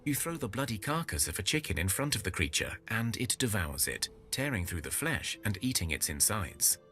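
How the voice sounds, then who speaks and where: A man reading out dark folklore event text from a game with dramatic storytelling delivery. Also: fairly low pitch, sing-song, moderate pace, faint music behind. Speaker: game narration reader.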